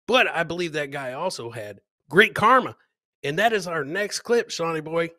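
A middle-aged man hosts a casual comedy livestream in a bright, forceful voice that sits a little high. He jokes with viewers as he comments on clips and likes to repeat his phrases. The sound is close and dry, as through a headset. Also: animated, normal speed, no echo. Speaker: comedy show host. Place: indoor home studio, webcam livestream.

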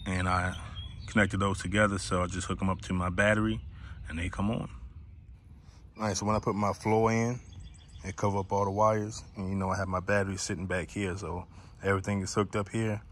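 A man with a fairly low voice talks casually through a hands-on project, step by step and without fuss. He records outdoors on a handheld camera, with faint ambient noise around his voice. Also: flat delivery, fairly quick, a bit bright. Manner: plain, step-by-step explaining. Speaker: boat-owner hobbyist giving a walkthrough. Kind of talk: casual how-to vlog narration. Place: outdoors in a grassy backyard.

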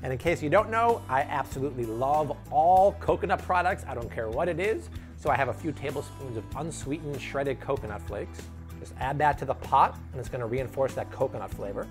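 A man's voice: medium pitch, animated, a little fast. An upbeat man in his thirties with a Chicago accent talks viewers through a recipe with playful, salesy energy. He sounds close on a lapel mic in a home kitchen, with faint music playing underneath and a middle-range voice. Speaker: cooking show host. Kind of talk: energetic cooking demo.

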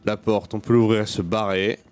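A man's voice: on the low side, level tone, moderate pace, a little mellow. A man giving casual let's play commentary, muttering to himself informally and swearing freely. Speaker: gaming video host. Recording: game music behind the voice. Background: video game music.

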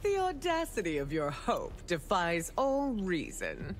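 A woman's voice: on the low side, huge pitch swings, unhurried. A woman playing a proud, menacing empress in a video game, haughty and commanding. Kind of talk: video game dramatic dialogue. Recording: music behind the voice.

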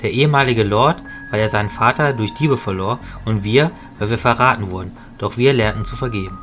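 A man playing a calm, knowledgeable mentor mage, explaining in a measured way in an audio drama. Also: lowish voice, soft background music, natural voice. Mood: grave recounting. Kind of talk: hobby audio drama storytelling.

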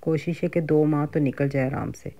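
A woman's voice: sits low, fairly even intonation, normal speed, warm tone, muffled recording. A middle-aged woman with a Pakistani Urdu accent, chatting casually through a grocery and cooking vlog. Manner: chatty, conversational, frequent fillers and asides. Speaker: home cooking vlogger.

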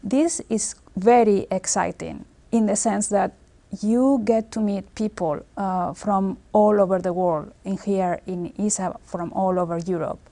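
A woman in her forties from Spain, speaking English with a Spanish accent in a seated office interview, earnest and measured with many filler pauses. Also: medium pitch, fairly even intonation, gravelly, warm tone. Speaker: space agency engineer. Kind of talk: documentary interview. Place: office with large screen and window.

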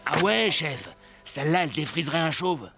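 A man's voice: a little high, expressive, moderate pace, vocal fry, bright, forceful tone, faint music behind, exaggerated voice. An actor voicing a dim, deferential biker henchman in a cartoon, oafish and eager to please. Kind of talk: French cartoon acting.

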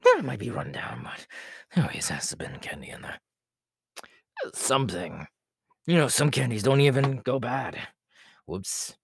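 A man playing an inhuman, menacing, giggly killer clown in a horror roleplay, with a raspy, breathy voice that slips into whispers and a rambling, teasing manner. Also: mid-range voice, very animated, moderate pace, long pauses, dry recording, full character voice. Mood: wheedling, greedy. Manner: rambling, teasing, laughing at himself, erratic swoops. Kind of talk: in-character horror roleplay monologue.

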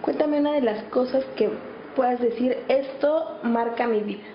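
A woman's voice: sits high, normal speed. A Mexican woman working as a reporter, interviewing and presenting. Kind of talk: interview questions and scripted reporting. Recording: slightly muffled, faint noise.

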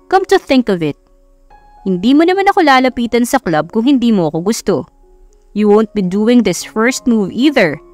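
A woman's voice: on the high side, darkish tone, lightly acted. A Filipino woman voicing a cocky, sarcastic young billionaire playboy who taunts, in an audiobook romance. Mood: teasing. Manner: taunting, code-switching into English.

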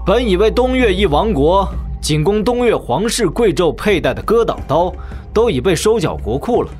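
A man's voice: high voice, sing-song, normal speed, a little mellow, faint music behind. An actor playing a cocky young nobleman swordsman in an audio drama, glib, mocking and theatrical. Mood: mock admiration.

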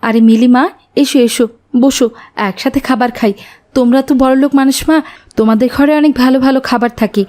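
Cartoon village mother, woman performer: anxious, kindly, high-pitched, mellow, brisk. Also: steady tone, close to an everyday voice. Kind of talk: cartoon voice acting.

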